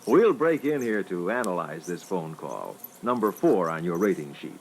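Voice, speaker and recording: A man's voice: low-pitched, very animated, normal speed. A man moderating a sales training record with scripted instructional narration. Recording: faintly noisy old reel-to-reel training record.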